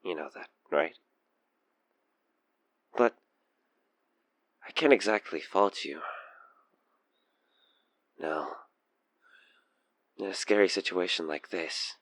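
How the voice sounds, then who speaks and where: A man playing an obsessed, manipulative killer who coaxes the listener in an intimate audio roleplay, with halting, breathy delivery that slips into whispers. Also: low-pitched, fairly bright tone, no echo. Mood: smug, taunting. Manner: hesitant, halting, breathy sighs and whispers sliding into menace. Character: obsessed male killer, coaxing and manipulative, feigning frightened innocence.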